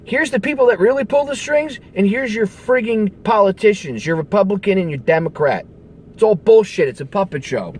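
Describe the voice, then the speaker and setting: A man's voice: high voice, lively intonation, brisk, slight background noise. A man speaking as a radio show host, delivering a casual, emphatic, conversational rant with some swearing. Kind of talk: casual political rant to camera.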